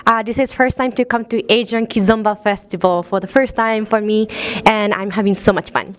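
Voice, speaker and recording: A woman from Japan, a guest dancer, speaking in a bright, enthusiastic way. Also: medium pitch, fairly even intonation, fairly quick.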